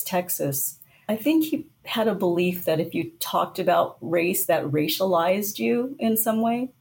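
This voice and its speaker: A woman's voice: fairly low pitch, a little mellow. A middle-aged woman guest in an interview, telling her story thoughtfully. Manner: thoughtful storytelling, light laughs. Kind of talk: conversational interview.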